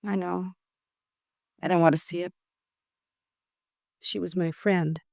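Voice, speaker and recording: An actress playing a quiet, grieving young married woman in a 1950s radio drama, speaking in a subdued, confiding way. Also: fairly low pitch, steady tone, slow pace, vocal fry, no room sound, studio quality.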